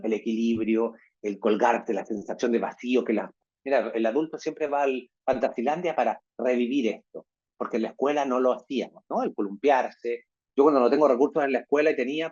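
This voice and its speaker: A man's voice: mid-range voice, darkish tone, breathy edge, lo-fi sound. A man giving an online lecture to teachers, constantly adding tag questions. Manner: peppers talk with tag questions.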